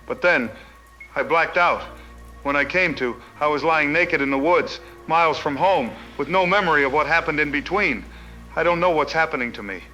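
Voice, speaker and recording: A man's voice: on the high side, pushed and bright, rough recording. An actor playing a frightened radio caller who fears he is changing, speaking in an anxious, confessional way. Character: frightened bitten man phoning in, fighting an inner change. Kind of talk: radio drama call-in monologue.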